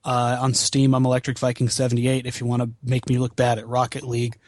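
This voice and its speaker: A man's voice: mid-range voice, completely flat delivery, fast, darkish tone, no echo. Wry, sweary man chatting casually, dry sound. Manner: profane, self-deprecating, wry. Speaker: podcast guest, video game marathon founder. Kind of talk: casual podcast chat about video games.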